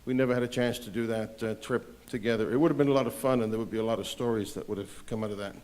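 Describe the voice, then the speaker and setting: A man's voice: on the low side, steady tone, racing, a little mellow. Heartfelt man giving a tribute. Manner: heartfelt, hesitant with fillers. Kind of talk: spoken tribute.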